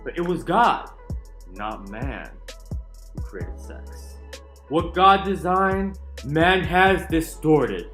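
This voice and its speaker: A man with a fairly high voice reads a book aloud in a theatrical, emphatic way. He leaves deliberate pauses between phrases. The room echoes noticeably, and soft chill music plays underneath. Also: sing-song. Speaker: channel narrator reading a book aloud. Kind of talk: dramatic reading of a book foreword. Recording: roomy echo with music underneath.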